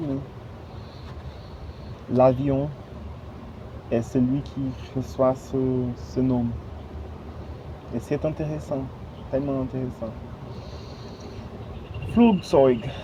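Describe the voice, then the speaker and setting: Guy talking slow, stopping to find words, dull lo-fi audio with street traffic behind. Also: medium pitch, fairly even intonation. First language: Portuguese. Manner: halting, searching for words, many fillers. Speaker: language learner. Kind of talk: casual vlog monologue. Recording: dull lo-fi outdoor recording with street noise.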